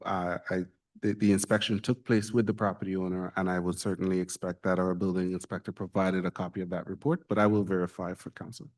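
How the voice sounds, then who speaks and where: Guy reading out a council report, kinda low voice, measured and formal. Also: level tone, a little mellow. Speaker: municipal staff presenting a report.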